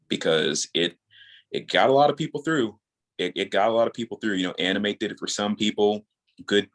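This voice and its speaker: Very deep-voiced man, earnest interview guest. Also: fairly bright tone. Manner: earnest, rambling, repeats words as he thinks. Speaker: podcast guest, audio drama creator. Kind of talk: casual interview talk.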